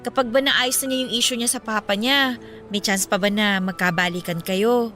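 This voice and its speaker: An actress playing a chatty, concerned young best friend in a radio drama, speaking expressively and with sympathy. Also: female voice, mid-range voice, fairly even intonation, moderate pace, pushed and bright, soft background music, natural voice. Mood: sympathetic. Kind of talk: Filipino radio drama dialogue.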